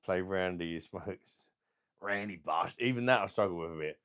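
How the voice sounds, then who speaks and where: A middle-aged man with a deep voice and a sore throat, chatting casually as he hosts a beer channel livestream and reads out viewer comments in a rambling, laid-back way. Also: normal speed, a bit bright, clean tone. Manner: rambling, laid-back, chuckling between remarks. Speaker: beer review channel host.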